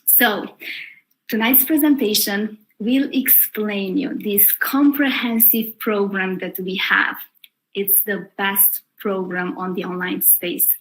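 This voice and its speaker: Woman, live webinar host, unhurried delivery, middle pitch. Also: steady tone, a little hiss or hum, rough recording. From Romania. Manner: upbeat, welcoming, slow and deliberate.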